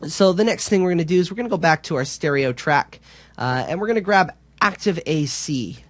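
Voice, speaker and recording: A man giving an informal, somewhat halting software tutorial as an instructor. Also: on the high side, lively intonation, no room sound.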